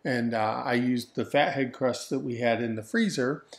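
A man's voice: medium pitch, normal speed, vocal fry. A man in his forties talking in an easygoing, rambling, joking way on a home vlog from his couch. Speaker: vlog host. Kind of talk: casual chat. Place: living room, on a couch. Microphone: camera in front.

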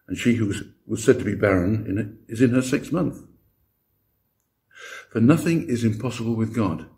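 A man's voice: fairly low pitch, mellow. An older man reading scripture and preaching as a lay minister in a church. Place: church interior.

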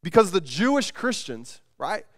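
A man's voice: high-pitched, big pitch swings, measured pace, a bit bright. A man in his thirties preaching a sermon from a church stage, conversational, often repeating words and restarting sentences. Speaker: pastor. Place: church stage with band gear, indoors.

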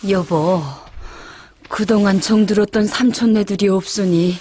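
A woman's voice: mid-range voice, fairly even intonation, a little slow, a little mellow, a little hiss or hum, close to an everyday voice. A woman voicing a polite young wife. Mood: wistful.

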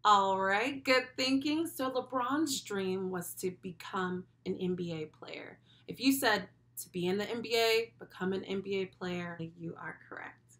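A young woman hosting a children's lesson, speaking directly to the child with animated, encouraging praise. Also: mid-range voice, a little slow. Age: young adult. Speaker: children's read-along host and school speech therapist. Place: small furnished room at home, indoors.

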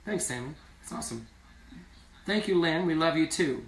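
A hoarse man in his thirties chatting casually on a live stream from a small room, rambling and reading viewer comments aloud. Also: fairly high pitch, lively intonation, moderate pace. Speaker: singing duo member hosting a live stream. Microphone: phone camera at a distance. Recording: echoey room, from a distance.

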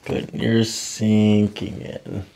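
A middle-aged man working as a chiropractor, giving calm, short, soothing instructions during an ASMR treatment. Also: on the low side, very slow, vocal fry. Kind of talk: hands-on treatment talk, ASMR. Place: small office treatment room. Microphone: microphone on a boom nearby.

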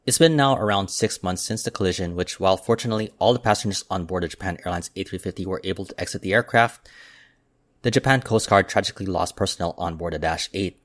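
A man with a deep, low voice reads aviation news in a steady, measured way. The recording is dry and close, with no room sound around him. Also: no room sound. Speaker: aviation news channel host. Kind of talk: scripted news narration. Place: voice-over, not recorded in the pictured airport.

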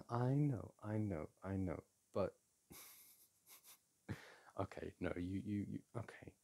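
A man playing a playful boyfriend in an intimate audio roleplay, chatting casually, teasing and coaxing the listener in a gentle, halting way. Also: low-pitched, gravelly, full character voice. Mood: soothing. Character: playful, teasing, soothing boyfriend at a board game.

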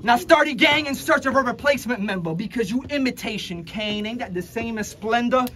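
A man's voice: very high voice, fairly quick, fairly bright tone, a little hiss or hum. A young man from the Republic, rapping a taunting battle verse in a crowded indoor room. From Dominican Republic. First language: Spanish. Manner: aggressive, taunting, punchline-heavy. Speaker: battle rapper. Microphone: handheld camera at close range.

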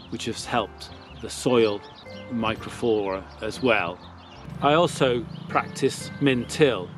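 A man in his fifties, a farmer, explaining his farm practices in a measured, plain way, outdoors in an open field. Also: medium pitch. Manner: measured, plain explanatory talk with small fillers.

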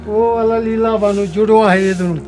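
A village man with a high-pitched voice gives short, polite answers outdoors in the snow, standing a little way off from the camera. Clear ambient music plays behind him. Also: steady tone, moderate pace, a little mellow.

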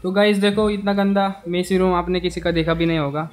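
A man's voice: sits high, level tone, brisk. A man casually showing viewers around in a travel vlog, upbeat as he points things out.

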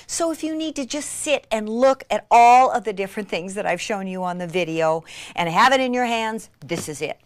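An excitable woman presents a craft demonstration with gushing enthusiasm. She takes her time over the words, dropping into breathy, near-whispered emphasis. The sound is dry, with little room around the voice. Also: on the low side, no room sound.

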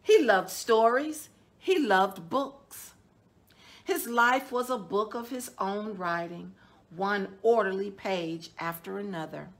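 A middle-aged woman, a children's storytime host, reading a picture book aloud in a measured, deliberate way with drawn-out words. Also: mid-range voice. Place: indoors, small decorated room.

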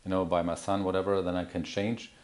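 A middle-aged man giving a live product demo in a halting, explanatory manner. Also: sits low, level tone, darkish tone. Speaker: product demonstrator.

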